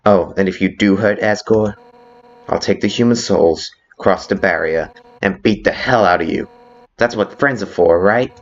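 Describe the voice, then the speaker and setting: Man voicing a brash, low-pitched warrior woman. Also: normal speed, dull audio. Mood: playfully threatening. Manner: blunt, cocky, teasing. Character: brash fierce female fish warrior with a soft side. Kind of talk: video game fan dub.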